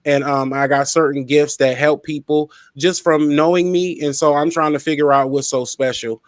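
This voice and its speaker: A man's voice: fairly high pitch, fairly even intonation, normal speed, no echo, studio quality. An outspoken, playful man hosting a casual interview and talking about himself. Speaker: interviewer, show host.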